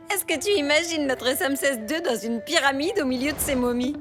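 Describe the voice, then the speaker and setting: High, taunting cartoon animal. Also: female voice, big pitch swings, measured pace, over music. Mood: mocking.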